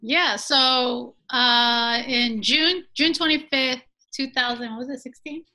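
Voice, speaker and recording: A woman's voice: high-pitched, steady tone, slow pace, bright, forceful tone. A woman in her early thirties chatting casually as an interview guest, telling her story and often checking in with the listener. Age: early 30s. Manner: chatty, checks in with "right?" and "you know". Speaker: podcast guest, business owner.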